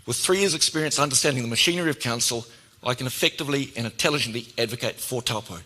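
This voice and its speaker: A man in his sixties with a New Zealand accent delivering a formal, measured and emphatic prepared campaign speech as a councillor. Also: medium pitch, bright, forceful tone, low-quality recording. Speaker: councillor seeking re-election.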